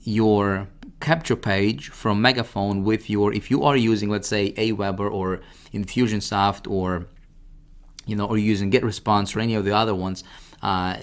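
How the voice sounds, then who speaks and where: Low-voiced man giving a casual software walkthrough. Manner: informal, addresses the listener directly with frequent check-ins. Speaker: software tutorial presenter. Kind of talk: casual screen-share product walkthrough.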